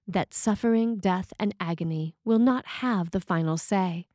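A woman delivers a scripted sermon as a priest would, measured and formal. Her voice sits at a middle pitch, recorded close and dry with very little room sound. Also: darkish tone, dry recording.

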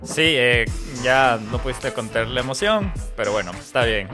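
A man's voice: medium pitch, very animated, moderate pace, over music. A man hosting a podcast, chatting casually with guests. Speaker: podcast host.

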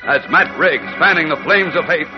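A man's voice: fairly high pitch, normal speed, over music. A man narrating a 1940s radio serial as announcer, with dramatic, urgent delivery. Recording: muffled old radio recording.

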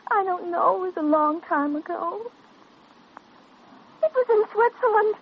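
A very high, girlish voice a confused, frightened young woman who has lost her memory. She speaks haltingly and repeats herself, as in vintage radio drama. The recording is dull and noisy. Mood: haunted, agitated. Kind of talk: old-time radio drama acting.

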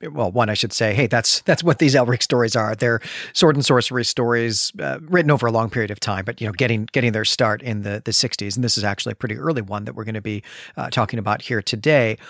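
A man's voice: mid-range voice, quick pace, fairly bright tone, dry recording. Middle-aged man chatting in a dry space. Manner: digressive, self-correcting, enthusiastic. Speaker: podcast host. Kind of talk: casual literary discussion.

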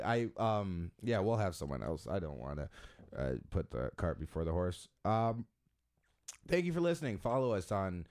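Joking low-voiced man hosting a podcast. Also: darkish tone, clear, resonant tone. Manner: joking, self-deprecating, rambling. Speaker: podcast host. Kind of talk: casual interview chat.

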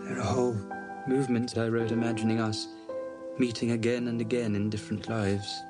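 An earnest, solemn man, played by an actor, speaks in an epic film trailer. His pitch stays very even while loud music swells behind him. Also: brisk, lightly acted. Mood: solemn, tender. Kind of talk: dramatic film trailer dialogue.

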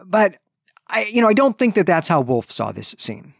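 A man co-hosting a podcast, recapping and commenting on fiction in a conversational, measured, explanatory way. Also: sits high, very animated, creaky, a bit bright, no echo, studio quality. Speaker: podcast co-host.

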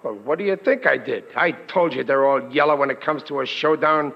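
A man's voice: a little high, fairly quick, creaky. An actor playing a blustering, boastful working-class family man in 1940s radio comedy, stammering when flustered. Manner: bragging, ungrammatical, comically exasperated. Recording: dull, noisy old radio recording.

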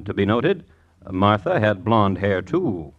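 Casting: male vintage radio host, formal deadpan with expressive pitch turns, wry crime narration. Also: slow pace, highs cut off, faint music behind.

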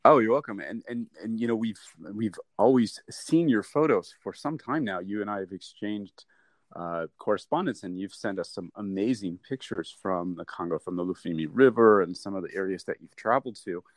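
A male podcast host interviews a guest. His voice is fairly low and the sound is dry. He is eager and keeps stumbling over repeated words and fillers. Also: brisk, no echo. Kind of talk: casual interview.

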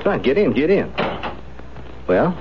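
An actor playing a flippant, wheedling boyfriend in a 1970s radio drama, talking casually and slangily. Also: male voice, on the high side, very animated, measured pace. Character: glib, flippant boyfriend of a married woman. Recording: muffled, noisy 1970s radio broadcast.

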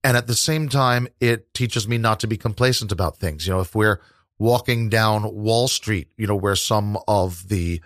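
Thoughtful low-voiced New man. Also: normal speed, no room sound, studio quality. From New York. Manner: thoughtful, rambling with many fillers. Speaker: interview guest, New York tour guide. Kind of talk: casual interview conversation.

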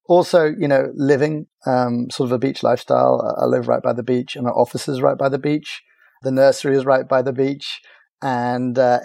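A middle-aged man, chatting as a podcast guest in a relaxed, halting way full of restarts and fillers. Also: mid-range voice, vocal fry, dry recording, studio quality. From Ireland. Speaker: podcast guest, agency founder. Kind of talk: casual interview chat.

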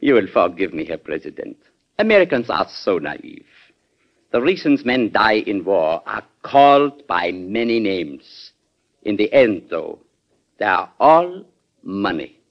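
An actor playing a worldly, cynical German ambassador with a German accent and a formal, measured manner, in 1940s radio drama. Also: male voice, on the low side, sing-song, heavily acted. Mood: wry, cynical. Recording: dull vintage radio broadcast.

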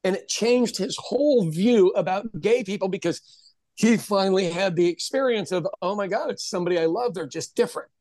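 A middle-aged man hosting a podcast in casual conversation, telling stories with lively energy and acting out the voices of people he quotes. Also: high-pitched, brisk, no room sound. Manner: animated storyteller, acts out quoted voices. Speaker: podcast host.

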